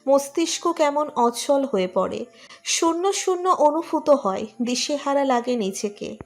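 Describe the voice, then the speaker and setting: A woman's voice: high voice, animated, measured pace, faint music behind. A woman reading a scripted romantic story as narrator.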